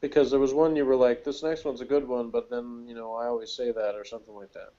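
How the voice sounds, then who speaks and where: A man's voice: medium pitch, flat delivery, fast, mellow. A man co-hosting a casual TV review chat in a joking, wry way, on a muffled recording.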